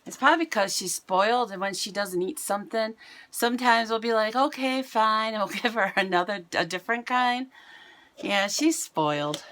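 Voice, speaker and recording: Middle-aged woman vlogger, raspy today, chatty and self-mocking, plain mid pitch. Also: normal speed, fairly bright tone, a little hiss or hum. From United States. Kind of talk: casual chat to camera. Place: home, indoors.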